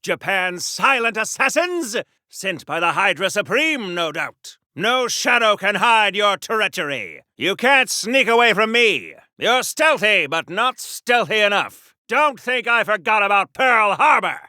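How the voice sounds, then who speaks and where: A man's voice: high-pitched, very animated, unhurried, bright, forceful tone, dry recording, clean studio sound, exaggerated voice. An actor playing an earnest, boastful, chattering American superhero man from the 1940s, in theatrical old-time radio serial style for a video game. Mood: heroic, indignant. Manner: wholesome old-time slang, theatrical, rambling.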